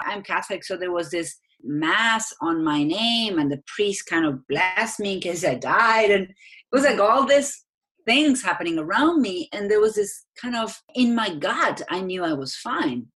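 A woman's voice: fairly low pitch, fairly bright tone. A woman from Argentina with an Argentine accent, a guest answering interview questions in an earnest, reflective way with light laughs.

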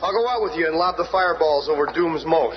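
An actor playing a confident, brash young superhero brother in a 1970s radio drama, with cocky eagerness. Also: male voice, on the high side, fast talker, background noise, close to an everyday voice. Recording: dull, lo-fi radio recording.

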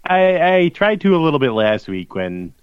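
Lively, sardonic low-voiced man. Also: normal speed, clear, resonant tone, no room sound, lo-fi sound. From small-town Minnesota. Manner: sardonic, animated. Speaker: podcast co-host. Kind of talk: casual sports chat.

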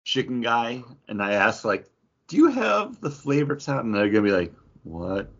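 A man chatting casually as a podcast co-host, talking in halting bursts full of filler words. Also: mid-range voice, sing-song, fairly bright tone, muffled recording. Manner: halting, peppered with "like". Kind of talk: casual chat.

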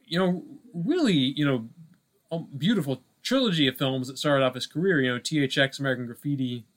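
Man chatting about films, haltingly. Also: mid-range voice, animated. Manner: halting, heavy use of "you know" and "like". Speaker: podcast co-host. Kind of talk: casual film discussion.

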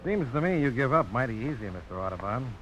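A man's voice: medium pitch, sing-song, quick pace, lightly acted. A man playing a confident, friendly young frontiersman in a radio drama, speaking in an easygoing, inquisitive, folksy way. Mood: friendly, probing. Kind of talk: 1950s radio drama acting. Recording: slightly noisy old radio broadcast.

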